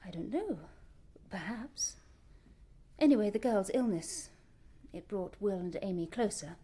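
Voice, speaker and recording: A grieving Victorian actress. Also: female voice, mid-range voice, animated, measured pace, dark tone. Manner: intense, dropping to a whisper. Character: grieving Victorian actress, lover of a murdered star. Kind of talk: radio drama acting.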